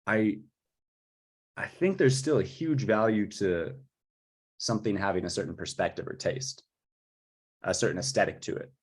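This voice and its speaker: A man talking informally about his work as a music composer, with a husky voice. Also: on the low side, normal speed, pauses often. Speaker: music composer for audio fiction.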